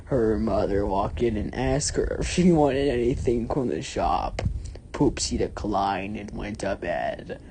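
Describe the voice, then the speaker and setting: Very deep-voiced woman reading theatrically. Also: lively intonation, dark tone, a little hiss or hum. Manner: deliberate, theatrical phrasing. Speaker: story reader. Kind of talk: mock-dramatic scripted narration.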